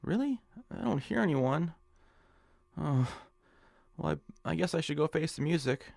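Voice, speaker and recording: A meek, hapless nebbish in a radio drama. His voice is creaky and dryly recorded, and he sounds anxious and flustered, hesitating and stammering. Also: on the high side, lively intonation, normal speed, darkish tone, no room sound. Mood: puzzled.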